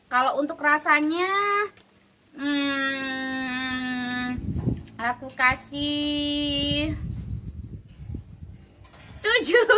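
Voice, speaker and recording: Chatty woman reviewing noodles. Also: a little high. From Java, Indonesia. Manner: chatty, relaxed, many fillers and tasting hums. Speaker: food reviewer. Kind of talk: casual food review vlog. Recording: noisy home recording. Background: clear background noise and clicks.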